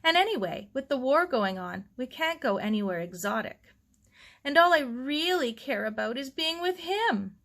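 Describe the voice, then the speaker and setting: An excited young bride-to-be, as a woman storyteller plays her, gushing and affectionate. Her voice sits high and lifts dramatically, in the manner of a storybook character. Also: moderate pace. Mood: dreamy, elated. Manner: gushing, effusive. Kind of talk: children's novel read aloud.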